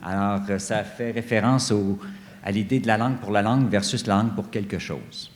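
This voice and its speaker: A middle-aged man giving earnest, emphatic panel remarks, often pausing and hesitating. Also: lowish voice. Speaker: panelist at a study day; director general of an association. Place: university conference room. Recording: echoey conference hall with long reverb.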